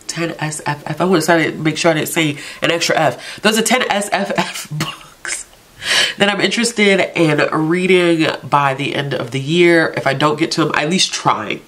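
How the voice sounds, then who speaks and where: A woman's voice: low voice, a bit bright. A woman with a congested, stuffed-up voice, hosting a casual book vlog and chatting in a self-chiding way about books she means to read. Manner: chatty, self-chiding, talks herself into reading. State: congested from allergies. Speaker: book vlogger, channel host.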